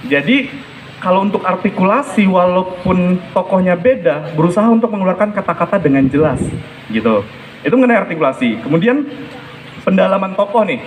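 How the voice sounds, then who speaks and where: A man's voice: high voice, animated, fairly quick, a little hiss or hum, poor audio. A man giving explanatory, emphatic spoken feedback as a storytelling coach, repeating his key points.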